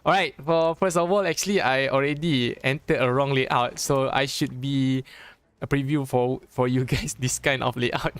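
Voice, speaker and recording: A young man from Malaysia with a Malaysian accent, a Malay native speaker casting a live mobile esports match in English, chatty and joking, with halting phrasing and frequent restarts. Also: mid-range voice, fairly even intonation, fairly bright tone, clean tone, no room sound. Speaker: esports caster. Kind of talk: live esports game commentary.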